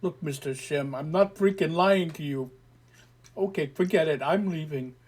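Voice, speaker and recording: A man's voice: a little high, normal speed, a little mellow, husky. A man reading an audio story, voicing a frightened teenage girl who insists she was abducted and pleads to be believed. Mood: insistent, pleading. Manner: pleading, defensive, swearing in frustration.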